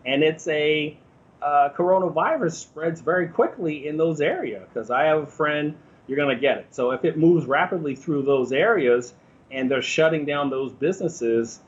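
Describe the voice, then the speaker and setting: A man's voice: fairly high pitch, dull audio. An American man speaking informally as an interview guest, explaining his opinions in a conversational way.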